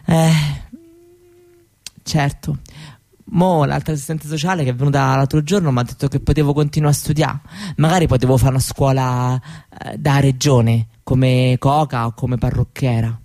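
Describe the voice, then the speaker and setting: A woman playing a candid, proud seventeen-year-old Roma girl in a radio monologue, with a Roman Italian accent and halting colloquial talk that often seeks agreement. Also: extremely low pitch, fairly even intonation, mellow. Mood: wistful, defiant. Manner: colloquial, halting, seeking agreement with little tag questions. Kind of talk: conversational theatrical monologue for radio.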